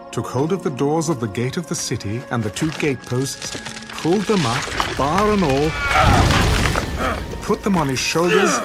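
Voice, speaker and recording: A man narrating scripture in a solemn, dramatic storytelling style for an audio production. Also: medium pitch.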